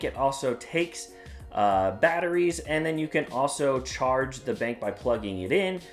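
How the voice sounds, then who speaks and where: Upbeat man hosting a product review. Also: medium pitch. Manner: upbeat, plain-spoken, with some "uh" fillers. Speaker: product reviewer and channel host. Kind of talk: casual product review vlog.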